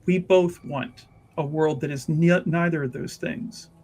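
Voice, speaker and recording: A man performs a solemn mage noblewoman for a tabletop game. The delivery is slow and earnest, with a gravelly creak, over noticeable background noise. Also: fairly high pitch, fairly even intonation, a little mellow.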